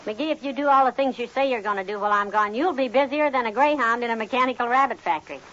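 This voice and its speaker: A woman's voice: fairly high pitch, fast talker, fairly bright tone, highs cut off, lightly acted. Long-suffering, motherly American wife played by an actress, dry and chiding, 1950s radio comedy, noisy vintage sound. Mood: amused.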